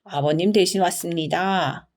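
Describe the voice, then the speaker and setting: A woman's voice: fairly low pitch, a little slow, close to an everyday voice. Woman voicing a sly, polite servant boy. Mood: slyly polite. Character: clever, cool-headed servant's son, polite and cheeky. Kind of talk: storybook character reading.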